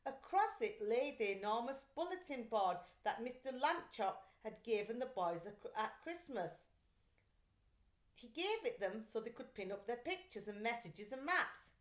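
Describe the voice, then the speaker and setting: A woman reading a children's story aloud like a teacher, in an expressive, deliberate, carefully phrased way. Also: a little high. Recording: echoey, roomy sound.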